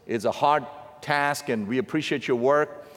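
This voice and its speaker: A man in his fifties with an Indian accent, preaching to a church congregation with animated asides. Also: medium pitch, normal speed. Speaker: missionary preacher. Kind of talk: sermon. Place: large church sanctuary. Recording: long reverb of a big hall.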